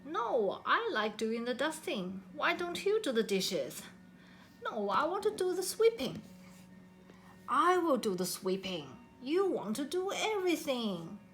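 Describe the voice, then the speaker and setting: A woman's voice: high-pitched, sing-song, a little slow, fairly bright tone, soft background music, pitched above the performer's own voice, exaggerated voice. A woman voicing squabbling young koalas for a children's storybook, whiny and defensive in an emphatic, protesting way. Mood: bickering.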